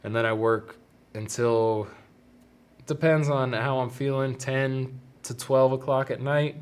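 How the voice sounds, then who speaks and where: A young male podcast host with a stuffy, plugged-up nose, chatting alone to his listeners. His talk is loose and rambling, with easy self-deprecating asides, pitched in a middling range. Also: steady tone, a little slow, smooth. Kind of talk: casual solo podcast talk.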